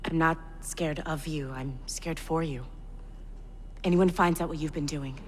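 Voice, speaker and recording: An actress playing an anxious, caring accomplice in a dramatic video game scene. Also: female voice, low-pitched, steady tone, unhurried. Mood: anxious, tender. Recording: reverberant space, ambient noise.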